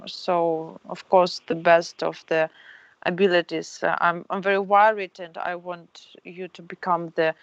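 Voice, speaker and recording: A woman's voice: on the low side, flat delivery, a little slow, no echo. A woman speaking hesitantly and politely in a political conversation on a call. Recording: dull, dry call audio.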